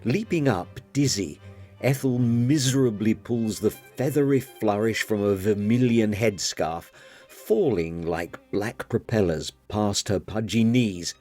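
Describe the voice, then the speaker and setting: Guy reading a novel dramatically, lowish voice, takes his time, music underneath. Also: unhurried, mellow. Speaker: audiobook narrator. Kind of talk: dramatic literary narration.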